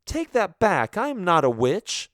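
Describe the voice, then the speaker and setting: A man reading a story aloud, voicing a defiant, hot-tempered teenage girl in a raised, shrill voice. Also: big pitch swings, unhurried, no echo, studio quality. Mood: furious.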